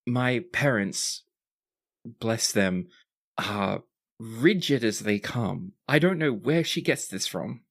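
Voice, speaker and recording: A man voicing a composed, courteous young prince in a tabletop game, with a dry, measured delivery. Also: lowish voice, lively intonation, vocal fry, no room sound, heavily acted. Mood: wry, fond.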